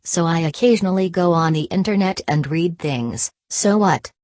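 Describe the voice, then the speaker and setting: A woman's voice: on the low side, moderate pace, no room sound, close to an everyday voice. A woman voicing a snarky robot AI. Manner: dry, mocking, flat delivery. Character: snarky female AI robot sidekick.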